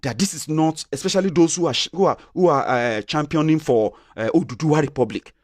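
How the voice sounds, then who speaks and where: A man's voice: fairly high pitch, brisk, darkish tone, no echo, clean studio sound. A Nigerian man with a Yoruba accent giving passionate opinion commentary, repeating and restarting phrases as he argues.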